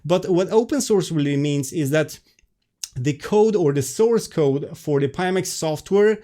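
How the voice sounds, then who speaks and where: A man's voice: on the high side, measured pace, mellow. A man with a Swedish accent, chatting on a live stream through a webcam, flustered and apologetic, stumbling over restarts and fillers. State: stressed. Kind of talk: casual live stream chat.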